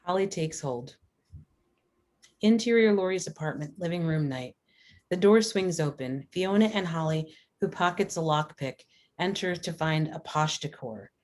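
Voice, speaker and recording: A woman's voice: deep voice, expressive, moderate pace, a little mellow. A woman reading scripted stage directions as narrator at a screenplay table read.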